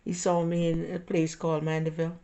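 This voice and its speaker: An older woman with a Jamaican accent tells stories about her own life in a loose, rambling way, often correcting herself and laughing softly. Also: lowish voice, completely flat delivery, brisk, highs cut off. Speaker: interviewee. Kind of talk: casual personal storytelling.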